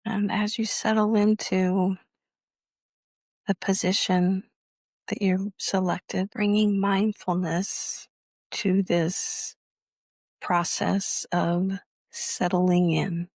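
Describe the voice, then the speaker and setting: A woman teaching guided meditation in a measured, instructional way, leaving long pauses between phrases. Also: medium pitch, level tone, a little mellow, no echo.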